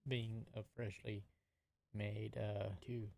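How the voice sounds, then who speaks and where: A man playing a tabletop roleplaying game, talking casually and haltingly as he feels out his questions. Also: lowish voice, little pitch movement, creaky, dark tone, slight background noise.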